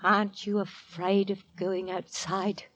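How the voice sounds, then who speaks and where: A woman's voice: mid-range voice, little pitch movement, measured pace, a bit bright, muffled recording, slight background noise, natural voice. A woman playing an anxious, deferential middle-aged landlady and mother in a 1940s radio drama, nervous, hesitant and placating. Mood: fearful. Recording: vintage 1940s radio broadcast.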